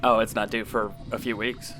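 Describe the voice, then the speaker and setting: A man's voice: lowish voice, flat delivery, normal speed, over music, lightly acted. Man playing an easygoing, hesitant technician. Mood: focused, puzzled. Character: easygoing male earthquake monitoring technician. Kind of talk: audio drama dialogue.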